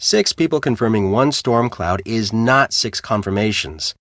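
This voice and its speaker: Sarcastic, deep-voiced storm team boss. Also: male voice, lively intonation, measured pace, a bit bright, no room sound, studio quality. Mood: dismissive. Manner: sarcastic, impatient. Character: gruff, cynical, bossy male storm-chasing team leader. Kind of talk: video game voice acting.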